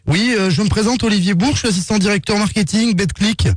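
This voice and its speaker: A man's voice: high voice, fast talker, no echo. A man playing a polite, insistent marketing assistant for a betting company on a prank phone call, coaxing with hesitant sales talk. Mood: polite, businesslike. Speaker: prank caller.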